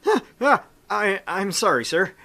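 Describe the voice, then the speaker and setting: A young male military recruit acted by a man in a visual novel game. He is apologetic and flustered, his voice raised high and tense as he answers in formal military style. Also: very animated, unhurried, pushed and bright, exaggerated voice. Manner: stammering, formal military replies. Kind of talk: visual novel read-aloud.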